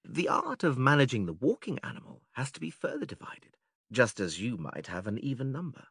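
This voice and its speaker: A man playing a patient, methodical philosopher who leads an argument in a dramatised classical dialogue, measured, formal and questioning. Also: medium pitch, sing-song, creaky, a bit bright, husky, dry recording, clean studio sound, exaggerated voice. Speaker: audiobook actor reading philosophical dialogue.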